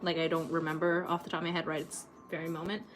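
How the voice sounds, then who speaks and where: A woman in her late twenties from Canada, chatting casually with viewers while painting on a livestream, rambling a little and filling pauses with ums. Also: low-pitched, level tone, faint music behind, poor audio. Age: late 20s. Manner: chatty, rambling, laughs often, many ums. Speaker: art livestream host.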